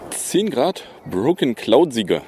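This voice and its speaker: A man's voice: mid-range voice, sing-song, slow, mellow, a little hiss or hum. A man hosting a casual news podcast, rambling and sarcastic, with many fillers. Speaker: podcast host.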